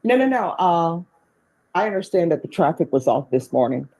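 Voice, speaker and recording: A woman judge in a courtroom, friendly and plainspoken, spelling out clear step-by-step instructions. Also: lowish voice, sing-song, normal speed, warm tone, rough recording. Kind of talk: presiding over court hearings.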